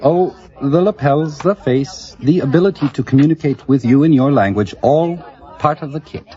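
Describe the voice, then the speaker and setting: An actor playing a polite, bewildered alien visitor, formal and earnest, in an audio drama comedy. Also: male voice, fairly high pitch, normal speed, dark tone, natural voice. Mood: courteous. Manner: formal, earnest, hesitant phrasing. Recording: muffled audio with music and party crowd. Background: music, party chatter.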